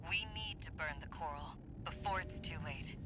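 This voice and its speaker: An actress playing a brash, battle-hardened mercenary operator in a video game, speaking in a commanding, wry way. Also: female voice, low voice, moderate pace, close to an everyday voice. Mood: grim, resolute. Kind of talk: video game voice acting, mission briefing. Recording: loud game music and vehicle noise.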